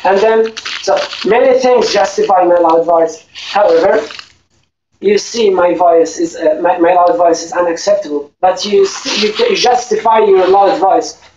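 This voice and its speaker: A man's voice: high-pitched, normal speed, darkish tone, muffled recording, a little hiss or hum. Insistent man in a distant roomy recording. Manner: insistent, repetitive. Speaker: student in a meeting. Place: meeting room.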